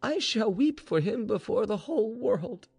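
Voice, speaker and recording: Man voicing a high-pitched, haughty young noblewoman. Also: wildly swooping pitch, takes it slowly, vocal fry, mellow, husky, full character voice. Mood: defiant grief. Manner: exclamatory, indignant questioning. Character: frightened, haughty young Russian noblewoman in despair. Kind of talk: storybook dialogue reading.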